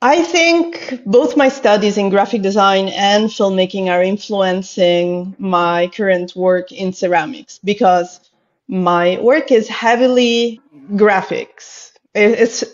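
A woman's voice: medium pitch, slow. A woman from Italy with an Italian accent, a pottery artist telling her story in an interview, thoughtful and sometimes searching for words. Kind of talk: casual interview chat. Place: indoor room with bookshelves. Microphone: headphones on a video call. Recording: slightly dull lo-fi call audio.

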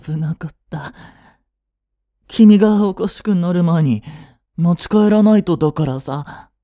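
A young man acting a jealous, possessive yandere boyfriend in a romantic voice drama, with a soft, coaxing, controlling manner that is sweetly menacing. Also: sits high, measured pace, no room sound, studio quality. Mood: urgent, unhinged. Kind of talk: Japanese romantic situation voice drama.

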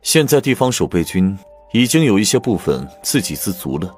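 A grave, animated, troubled prince. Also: male voice, lowish voice, normal speed, faint music behind. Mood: weary, confiding. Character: imperial prince, grave, troubled, candidly lecturing on state finances. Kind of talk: audiobook drama acting.

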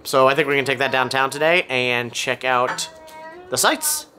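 A man's voice: medium pitch, normal speed, noisy background. A middle-aged man, talking casually to a camera held at arm's length as a travel vlogger. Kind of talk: casual vlog narration to camera. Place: on location around a city, indoors and outdoors.

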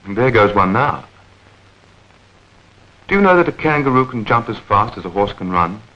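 A man narrating a 1940s educational newsreel in a measured, clearly enunciated, explanatory manner. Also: on the low side, expressive, bright, forceful tone. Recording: dull vintage 1940s film soundtrack.

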